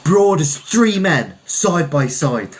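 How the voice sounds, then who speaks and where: A man's voice: on the high side, sing-song, takes it slowly, pitched above the performer's own voice. A man voicing a playful, teasing young Jamaican woman in a storybook reading. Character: Olivia, teasing young Jamaican trainee nurse, engaged woman.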